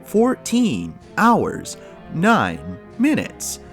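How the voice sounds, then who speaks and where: A man's voice: high voice, wildly swooping pitch, very slow, soft background music, exaggerated voice. A man playing an officious automated security droid making formulaic announcements in an audio drama. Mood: officious, impersonal. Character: officious automated security droid barking pandemic rules and ads.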